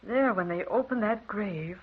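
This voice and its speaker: Wide-eyed gossip woman, raspy and wondering, folksy, old radio drama, thin phone-like recording. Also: medium pitch, a little slow. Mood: aghast. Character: credulous, aghast gossiping woman.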